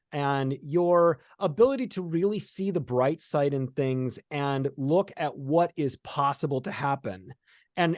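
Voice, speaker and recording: A man hosts an interview in a conversational, reflective way. His voice sits a little high for a man and sounds close and dry, as he sums up before asking. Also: darkish tone, no room sound. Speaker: interview host.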